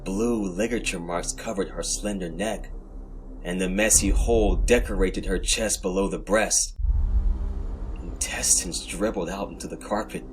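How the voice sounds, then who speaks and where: A man's voice: low voice, steady tone, unhurried, a little hiss or hum. A man narrating first-person horror fiction in a dramatic scripted style, as host of a story podcast. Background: music.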